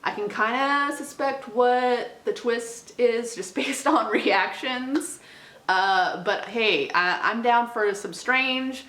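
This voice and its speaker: A woman's voice: fairly high pitch, slow pace, a bit bright. A woman book vlogger chatting casually to the camera in an informal, slangy, hedging way. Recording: roomy indoor echo.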